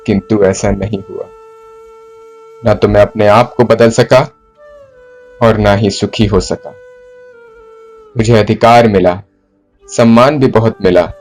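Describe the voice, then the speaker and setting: A man performing a theatrical monologue as a broken, remorseful poet confessing to his beloved in formal, literary language, deliberately and with dramatic pauses. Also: lowish voice, fairly even intonation, darkish tone. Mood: heavy regret. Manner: brooding, deliberate, with dramatic pauses and repeated phrases. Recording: flute music under the voice. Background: flute music.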